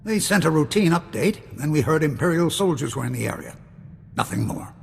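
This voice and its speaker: A stern, protective father figure from a science-fiction game. His voice is highish and full of gravel, measured and grave. It rings in a long reverb with faint ambient noise. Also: animated.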